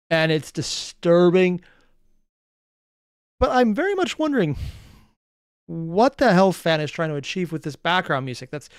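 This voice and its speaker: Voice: mid-range voice, dry recording, studio quality. A reaction host giving casual, blunt commentary, musing aloud and swearing freely.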